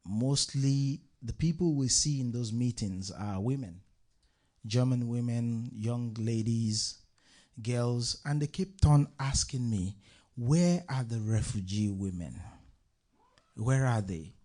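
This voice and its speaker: A man's voice: mid-range voice, takes it slowly, warm tone. A man hosting a live talk show on stage at an art venue, introducing guests in loose, chatty patter.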